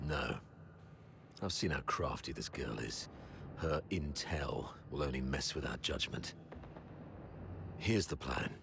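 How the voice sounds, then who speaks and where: An actor playing an older, weathered army commander in a game, measured and authoritative, wry and steadfast. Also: male voice, a bass voice, vocal fry, noisy background, heavily acted. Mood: wry, commanding. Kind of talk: game voice acting.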